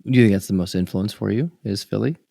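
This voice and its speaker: A male podcast host with a deep, gravelly voice asks his guest questions. He often stops and restarts his sentences, with short affirming sounds in between. The sound is dry and close. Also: fast talker, mellow, no echo. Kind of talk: interview questions, casual chat.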